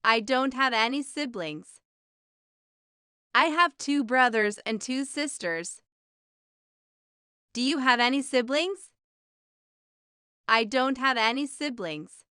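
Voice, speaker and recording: A woman with a high, bright voice reads simple questions and answers for a beginner language lesson. She goes slowly and enunciates each short sentence carefully, as a teacher would. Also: smooth, no room sound, studio quality.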